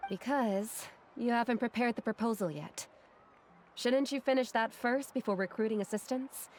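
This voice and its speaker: Composed, lightly high female assistant played by an actress. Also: normal speed, a little mellow, a little hiss or hum, natural voice. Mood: chiding. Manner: polite, composed. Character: composed, gently chiding female research assistant, fond, patient. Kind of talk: video game voice acting.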